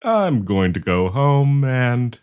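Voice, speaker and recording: A man's voice: mid-range voice, sing-song, slow, clean tone, muffled recording. A man playing a nervous, awkward young man shyly confessing love to a friend in an audio roleplay, stammering and hesitant. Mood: relieved, awkward. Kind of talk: intimate audio roleplay acting.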